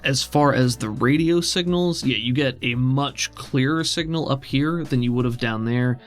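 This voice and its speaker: A man's voice: medium pitch, moderate pace. A man narrating a tabletop role-playing game as game master, describing things in a conversational, improvised way. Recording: music under the voice. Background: background music.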